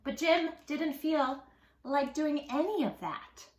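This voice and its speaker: A woman hosting a read-aloud for young children, expressive and emphatic, speaking straight to the listener. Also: high-pitched, takes it slowly, roomy sound, slight background noise. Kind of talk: animated picture-book reading for young children.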